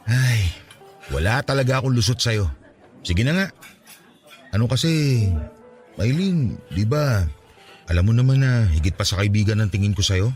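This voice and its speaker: A man's voice: fairly low pitch, animated, moderate pace, long pauses, a little mellow, faint music behind, lightly acted. An actor with a Filipino accent playing an earnest, lovestruck young man pleading his case in a radio drama. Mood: earnest confession.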